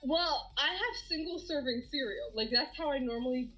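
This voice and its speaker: Woman from Bangladesh, streamer guest: bright, slightly high, giggly, animated; faint music bed. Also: normal speed, poor audio. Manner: giggly, animated, laughs often. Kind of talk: casual interview chat.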